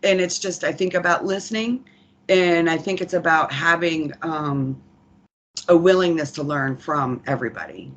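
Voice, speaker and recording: Earnest lower-voiced woman presenting. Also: normal speed, fairly bright tone. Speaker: corrections program official presenting. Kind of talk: earnest virtual committee report.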